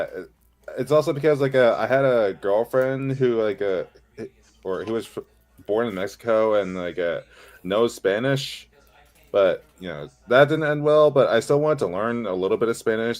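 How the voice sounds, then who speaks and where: Hesitant young man, lowish voice. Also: normal speed, a little mellow. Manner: hesitant, lots of "like, uh" fillers. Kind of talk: casual chat with friends.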